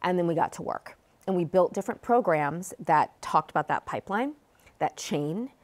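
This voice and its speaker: A woman's voice: lowish voice, animated, moderate pace. An American woman speaking as an author and guest in an interview, explaining her work. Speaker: author and guest, book advocate.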